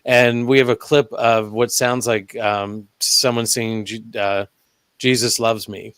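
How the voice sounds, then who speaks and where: A man talking as a guest investigator in a casual podcast chat, telling stories in a relaxed way with hesitant pauses. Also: lowish voice, clear, resonant tone, no echo. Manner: relaxed storytelling with hesitant ums.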